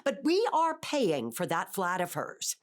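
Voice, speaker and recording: A woman's voice: lowish voice, very animated, a little slow. A woman acting an anxious, controlling middle-aged wife and mother in an audio drama, with an earnest, exacting manner. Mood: exasperated. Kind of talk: audio drama acting, confessional monologue and dialogue.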